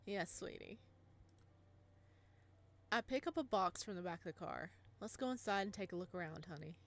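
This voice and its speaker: A woman voicing a gentle, doting father, narrating softly and tenderly in a visual novel. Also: lowish voice, animated, moderate pace. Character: gentle doting father, a modest physics teacher.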